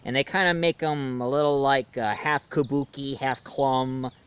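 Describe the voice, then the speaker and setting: A man giving a casual movie review as host, in a halting way with pauses mid-sentence and repeated phrases. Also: mid-range voice, fairly even intonation, fairly bright tone, slight background noise.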